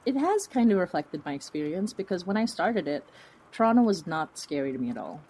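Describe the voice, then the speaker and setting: A woman talking casually as an interview guest, in an informal, animated, rambling way with a giggly streak. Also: on the low side, brisk, breathy edge, low-quality recording. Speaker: podcast creator and writer being interviewed.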